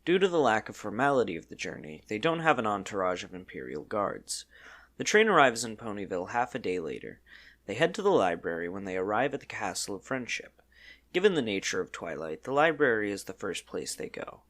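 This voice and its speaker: A man with a slightly low voice narrates a scripted tale. His pitch moves a great deal from phrase to phrase, giving the storybook narration a very animated shape. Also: a little fast.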